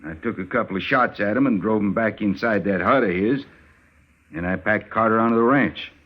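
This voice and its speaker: An actor playing a hard, vengeful cowhand with a rural American accent in a radio western, blunt and surly. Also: male voice, lowish voice, fairly quick, natural voice. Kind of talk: old-time radio western acting. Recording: dull old radio recording.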